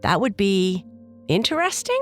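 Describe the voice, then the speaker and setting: A woman's voice: mid-range voice, very animated, a little slow, soft background music. A woman voicing a curious, eager little girl bear cub in a children's bedtime story, chatty and childlike.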